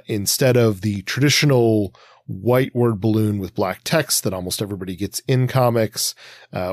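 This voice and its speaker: Low-voiced man, dry close podcast sound. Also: slightly rough, no echo. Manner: wordy, hesitant, thinks aloud in long winding sentences. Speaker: podcast host. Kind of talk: casual analytical discussion of comics.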